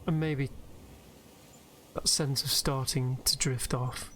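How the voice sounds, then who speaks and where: A man narrating a guided meditation over gameplay in a gentle, unhurried, soothing way with pauses. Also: mid-range voice, fairly even intonation, a little hiss or hum. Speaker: guided relaxation host and gamer. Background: soft background music from a video game.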